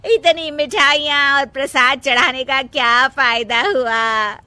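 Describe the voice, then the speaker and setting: A woman's voice: high voice, fairly even intonation, slow pace, pushed and bright, dry recording, exaggerated voice. A woman voicing a melodramatic, scolding older mother-in-law in a cartoon moral story. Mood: wailing, aggrieved. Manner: scolding, dramatic wailing and complaining. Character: nagging, melodramatic older mother-in-law obsessed with having a grandson.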